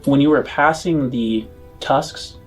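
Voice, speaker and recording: A man acting as game master, improvising scene descriptions in a halting way and rephrasing as he goes. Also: medium pitch, faint music behind, rough recording. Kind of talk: improvised tabletop game narration.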